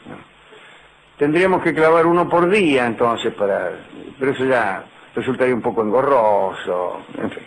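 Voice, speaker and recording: A man's voice: medium pitch, lively intonation, normal speed, a little hiss or hum, low-quality recording. A middle-aged man with a Rioplatense Spanish accent, hosting a radio show as a humorous lecture with dry, digressive asides. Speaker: radio host.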